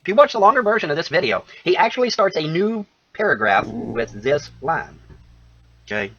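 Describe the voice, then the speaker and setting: A man's voice: a little high, very animated, normal speed, a bit bright, breathy edge. A man giving a rambling, folksy commentary to his viewers, self-assured and calling them folks. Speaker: commentator in a played video clip. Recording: lo-fi dull sound.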